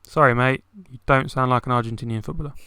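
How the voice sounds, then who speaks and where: A young man chatting casually about football, dropping "like" into nearly every phrase. His voice is bright and very clear. The sound is dry and close, with little room. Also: medium pitch, fairly even intonation, fast talker, no echo. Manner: chatty, many "like" fillers. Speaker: podcast co-host.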